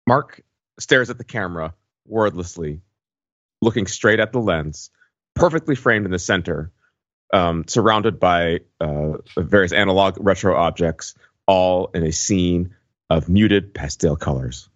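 A man's voice: deep voice, measured pace, no room sound. A man co-hosting a podcast with casual banter, on a slightly dull lo-fi microphone. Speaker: podcast co-host.

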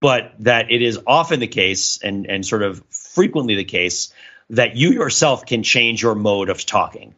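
Bright-voiced man, thinking aloud. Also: fairly low pitch, lively intonation, unhurried, dull audio. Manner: discursive, hedging, thinking aloud. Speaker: podcast co-host. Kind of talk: casual analytical podcast discussion.

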